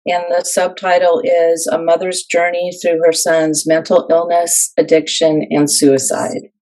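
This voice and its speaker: A woman with a slightly low voice talks as a guest in a casual interview. She speaks earnestly and plainly, with some fillers as she gathers her thoughts. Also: little pitch movement, measured pace, dark tone, low-quality recording. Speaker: guest, author.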